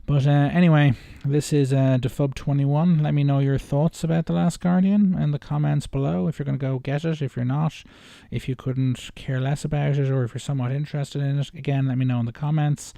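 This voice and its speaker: Man, mellow relaxed tone, dry sound, casual game reaction commentary, unscripted, hesitant, rambling, frequent rephrasing. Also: fairly high pitch, brisk, creaky, few pauses, no echo. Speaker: reaction video host.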